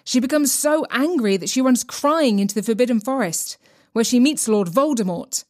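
A woman's voice: fairly high pitch, lively intonation, normal speed, a little mellow. A woman presenting a podcast with scripted, tongue-in-cheek narration.

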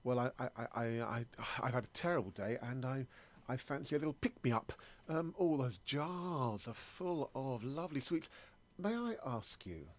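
A man's voice: medium pitch, animated, moderate pace, lightly acted. A man playing an anxious middle-aged British sweet-shop customer in improvised comedy, rambling, hesitant and stammering as he doubts himself. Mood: flustered. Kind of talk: improvised comedy monologue and sketch.

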